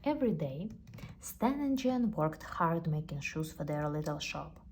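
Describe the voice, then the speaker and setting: A woman's voice: fairly low pitch, warm tone, breathy edge. A woman with a non-native English accent reading a children's storybook aloud expressively. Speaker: storybook reader. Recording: faint background noise.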